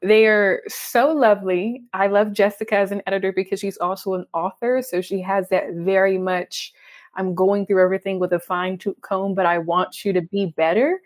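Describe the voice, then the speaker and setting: A woman's voice: mid-range voice, flat delivery, normal speed. A young adult woman from Texas with a Texan accent, a freelance writer chatting animatedly and laughing often in an interview. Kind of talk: conversational interview.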